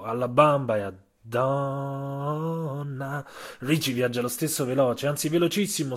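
A man with a slightly husky voice narrating a literary audio story for radio, measured, with dramatic pauses and emphasis. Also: medium pitch.

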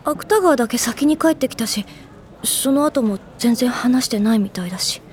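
A woman's voice: fairly high pitch, lively intonation, moderate pace, darkish tone, natural voice. A woman voicing a prickly, aloof teenage schoolgirl who hides her concern, talking in a curt, awkward way in a Japanese audio drama. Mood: curt, feigned indifference.

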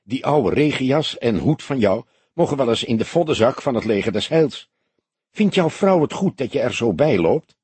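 A man's voice: medium pitch, expressive, moderate pace, darkish tone, slightly rough, dry recording, voice pushed up. A man voicing a young detective in an audiobook, a blunt, sceptical, grumpy sidekick who talks in a mocking, challenging way. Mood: disapproving. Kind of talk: audiobook character dialogue.

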